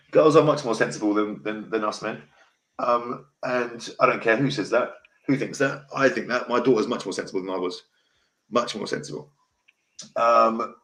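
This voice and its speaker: A middle-aged man with a deep voice hosts a casual livestream talk, blunt, emotional and rambling. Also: fairly bright tone. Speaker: host. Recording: echoey room.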